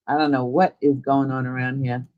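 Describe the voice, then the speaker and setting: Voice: medium pitch, level tone, fairly quick, dull audio. A live stream host chatting casually and informally, thinking aloud while giving a tour of a virtual world.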